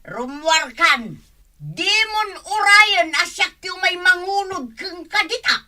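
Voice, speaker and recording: Nagging village wife played by an actress, very high and piercing, drawn-out delivery, hot temper, radio comedy. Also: female voice, animated, bright, forceful tone, heavily acted. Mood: demanding. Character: shrill, scolding village wife, quick to anger. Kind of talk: Ilocano radio comedy drama acting.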